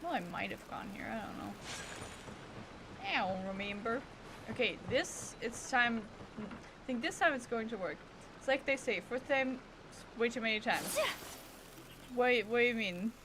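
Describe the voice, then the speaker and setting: A woman's voice: a little high, animated, moderate pace, pauses often, noisy background. A woman streaming a video game with casual, chatty, playful commentary, often muttering to herself.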